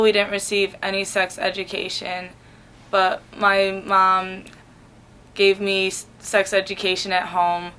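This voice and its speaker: A young woman of eighteen speaks in a measured, articulate way. Her voice is smooth and clear, held in a fairly flat pitch. She is giving casual interview answers. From Michigan, USA. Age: young adult. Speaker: college student interviewee.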